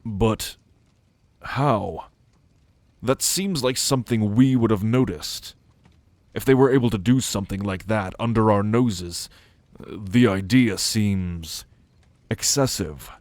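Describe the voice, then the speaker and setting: Man playing a slow, low-voiced brooding immortal. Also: darkish tone, dry recording. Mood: grave, pondering. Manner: measured, pensive, formal phrasing with deliberate pauses. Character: brooding ancient male celestial being, dutiful, formal, married. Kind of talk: audio roleplay ASMR acting.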